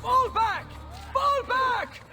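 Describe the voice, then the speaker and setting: Arrogant rival, extremely high-pitched. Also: male voice, extremely slow, over loud music, exaggerated voice. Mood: panicked. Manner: contemptuous, strident. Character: arrogant, sneering young male Italian rival noble. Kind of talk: dramatic video game acting.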